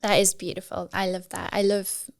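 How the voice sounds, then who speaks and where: A woman's voice: medium pitch, flat delivery, normal speed, creaky, no room sound. A woman hosting a podcast interview in a studio, talking in an upbeat, encouraging way. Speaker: podcast host.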